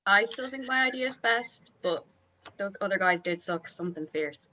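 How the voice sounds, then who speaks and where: A woman's voice: mid-range voice, animated, normal speed, bright, forceful tone, highs cut off. A woman playing a blunt, scornful band member who talks disparagingly.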